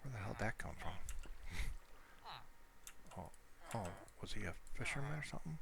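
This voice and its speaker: A man giving casual, rambling commentary over his own video game play, speaking off the cuff. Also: fairly low pitch. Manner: rambling, unscripted asides. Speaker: gamer narrating own gameplay. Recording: clearly noisy home recording.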